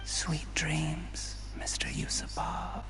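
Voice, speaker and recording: An actor playing a smug, scheming official in a video game, speaking with silky, calculating politeness. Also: male voice, fairly high pitch, flat delivery, takes it slowly, whispered. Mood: hushed menace. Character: smug scheming official, a soft-spoken poisoner with courtly politeness.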